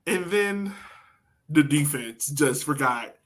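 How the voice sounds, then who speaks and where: A man's voice: fairly high pitch, expressive, slow pace, a bit bright. A man co-hosting a soccer podcast, talking in an informal, slangy way and telling stories casually. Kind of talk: casual sports chat.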